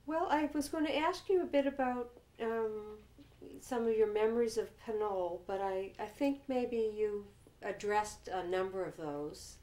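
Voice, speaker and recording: A woman's voice: mid-range voice, a little slow, dark tone. A woman asking informal questions as an oral history interviewer.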